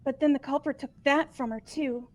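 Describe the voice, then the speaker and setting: A woman's voice: high-pitched, steady tone, fairly quick, lightly acted. A woman voicing a timid young girl student in a fan-dubbed mystery game, hesitant and stammering. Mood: timid, unsure.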